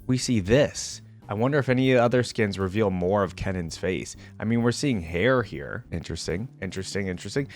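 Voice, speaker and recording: Male gaming reaction host, young adult. Average pitch, animated intonation, casual and rambling, light music bed. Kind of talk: casual gaming commentary, thinking aloud.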